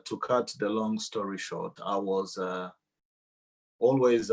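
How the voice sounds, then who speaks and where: Slow, measured Rwandan man giving testimony. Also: fairly low pitch. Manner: deliberate, halting with long pauses. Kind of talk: personal testimony to a church audience.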